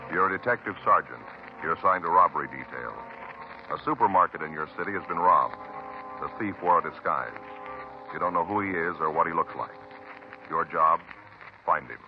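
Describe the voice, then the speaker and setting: A man with an American accent announcing a 1950s radio drama in a solemn, measured, portentous style. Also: deep voice. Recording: muffled old radio broadcast. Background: loud music.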